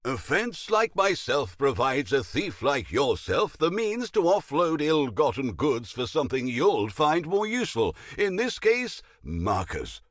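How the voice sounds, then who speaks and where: A man's voice: a little high, sing-song, measured pace, hardly any pauses, fairly bright tone, no echo, studio quality, heavily acted. A man playing a middle-aged, pompous, scheming naval captain and shady fence in a video game, theatrical and unctuous as he savors his words. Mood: smug, playful. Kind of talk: video game character dialogue.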